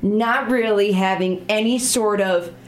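A woman's voice: medium pitch, unhurried, slight background noise. A woman hosting an interview program in a studio, upbeat and friendly as she introduces and questions her guest. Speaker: program host.

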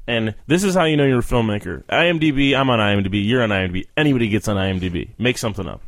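A man's voice: on the low side, racing, no echo. A man in his twenties hosting a casual conversational interview, bantering and poking fun at himself as he interjects. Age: 20s. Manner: bantering, self-deprecating, interjecting. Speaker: podcast host.

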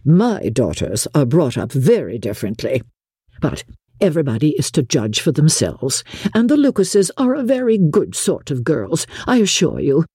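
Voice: mid-range voice, sing-song, normal speed, warm tone, breathy edge, no echo, studio quality. A narrator voicing a fussy, gushing middle-aged mother who rambles and boasts in an audiobook. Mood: smug, gossipy. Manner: rambling, gossipy, self-satisfied. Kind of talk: audiobook character dialogue.

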